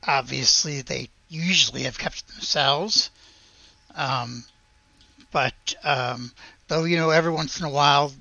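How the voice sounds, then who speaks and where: A man playing a reserved villager in a tabletop game, speaking haltingly with measured, formal wording. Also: medium pitch, creaky, sharp tone, no room sound, highs cut off, full character voice.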